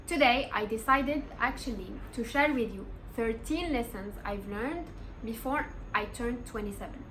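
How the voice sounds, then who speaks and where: A woman's voice: a little high, expressive, moderate pace, fairly bright tone, slight background noise, poor audio. A woman in her twenties from Morocco, giving earnest, encouraging life advice in a casual vlog and stressing points by repeating them. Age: 20s. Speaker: vlogger.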